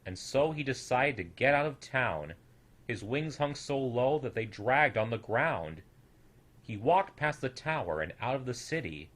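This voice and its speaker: A young man reading a rhyming children's story as an expressive, theatrical storyteller with a sing-song rhythm. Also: mid-range voice, unhurried. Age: young adult.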